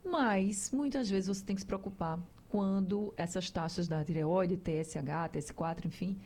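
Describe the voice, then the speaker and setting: Female radio host, mellow voice, chatty and explanatory, speaks straight to listeners. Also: on the low side, normal speed. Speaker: radio health show host. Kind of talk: conversational radio talk.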